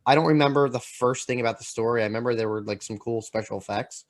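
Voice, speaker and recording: A man co-hosting a podcast in casual, opinionated chat, halting and repeating himself with lots of filler. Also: medium pitch. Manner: halting, repetitive, peppered with "like". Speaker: podcast co-host.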